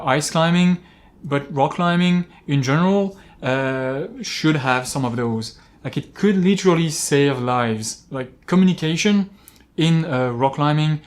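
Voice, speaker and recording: A man talking casually as an outdoor climbing vlogger, explaining gear with examples in a halting, rambling way. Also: medium pitch. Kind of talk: casual product-talk vlog, explaining with examples.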